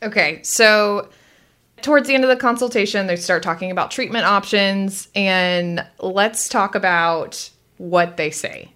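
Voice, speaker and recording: Female co-host, bright clear-cut tone at medium pitch, conversational, steering a discussion. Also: measured pace. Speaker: co-host asking questions.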